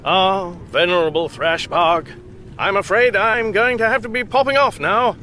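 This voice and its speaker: An actor playing a mild, polite village sandwich maker in a radio comedy, with an understated manner. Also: male voice, sits high, normal speed, sharp tone, slight background noise. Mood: politely apologetic. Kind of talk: radio comedy drama acting.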